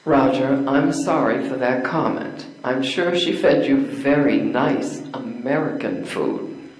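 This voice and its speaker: An older woman playing a bookish, sharp-tongued young lady in amateur readers theater, with a dry, deliberate delivery. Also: very deep voice, a little hiss or hum. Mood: drily mocking. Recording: echoey room recording.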